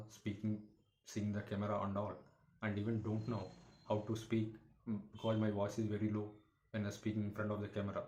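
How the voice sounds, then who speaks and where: Man, lowish pitch, motivational YouTube talk, halting and repetitive, faint background noise. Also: a little mellow, roomy sound, poor audio. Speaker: YouTuber, motivational content creator.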